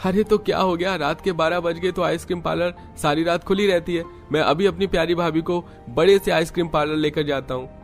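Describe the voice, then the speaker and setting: A man's voice: a little high, steady tone, fairly quick, hardly any pauses, faint music behind, voice pushed up, natural voice. A man voicing a generous, carefree rich young man in a family cartoon, with breezy confidence. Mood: eager, affectionate.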